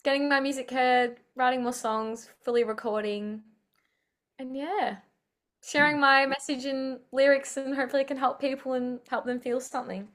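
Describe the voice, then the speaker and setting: A young woman talks about her music over a video call. Her voice sits a little high, and she chats in an upbeat, rambling way, with plenty of "um"s and "like"s. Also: fairly even intonation, moderate pace. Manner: chatty, upbeat, many fillers. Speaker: singer-songwriter guest. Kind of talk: casual interview chat.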